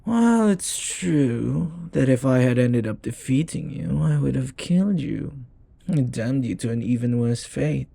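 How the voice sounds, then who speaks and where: A man playing a jealous, flirty, devoted demon in an intimate audio roleplay, speaking formally in a silky, possessive, breathy way. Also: medium pitch, expressive, unhurried, mellow, no room sound, heavily acted. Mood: devoted, pleased.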